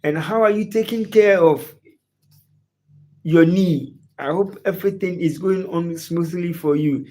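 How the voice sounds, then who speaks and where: A man's voice: high voice, unhurried, darkish tone. A man from Ghana with a Ghanaian English accent hosts a live football chat. He greets viewers by name and asks the same questions again and again. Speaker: sports livestream host. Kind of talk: live sports chat reading viewer comments.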